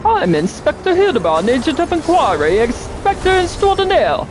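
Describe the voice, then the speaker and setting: A streamer voicing a pompous, gallant gentleman inspector from a fantasy game, grandiose and theatrical. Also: sits high, huge pitch swings, normal speed, background noise, voice pushed up. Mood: proud, gallant. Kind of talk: game character impression.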